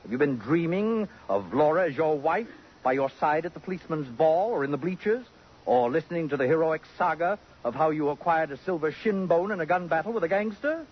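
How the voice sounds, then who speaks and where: An actor playing a haughty, sarcastic society gentleman with elaborate, pompous diction in a 1950s radio drama. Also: fairly high pitch, animated, brisk, slightly rough. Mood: mocking. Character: haughty, possessive, acid-tongued society gentleman, rival of a detective. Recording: muffled 1950s radio recording.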